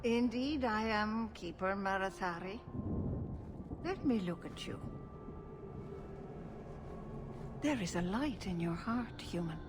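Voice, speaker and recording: A woman voicing a wise, motherly elven clan keeper in a fantasy game, speaking in gentle, measured, cryptic pronouncements. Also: medium pitch, animated, background noise, lightly acted. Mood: solemn.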